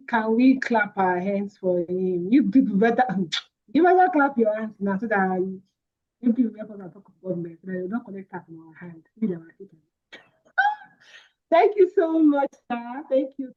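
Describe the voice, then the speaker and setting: A woman's voice: mid-range voice, normal speed. A woman with a Nigerian accent hosting an online session, chatty and joking with the audience. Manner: lively, chatty, jokes with the audience. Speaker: webinar host. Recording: tinny phone-like sound.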